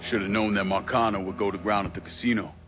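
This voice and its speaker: An actor playing a tough, quietly probing avenger with a Southern American accent, blunt and laid-back, in a game cutscene. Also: male voice, on the low side, fairly even intonation, fast talker, close to an everyday voice. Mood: wry resolve. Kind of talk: dramatic game cutscene acting. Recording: faint music under the voice.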